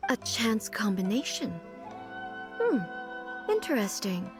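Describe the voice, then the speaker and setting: A woman playing a composed, curious and aloof female shogun in a video game, speaking in a formal, measured and pondering way. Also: on the high side, very animated, music in the background. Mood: pondering, pleased. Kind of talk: scripted game voice acting.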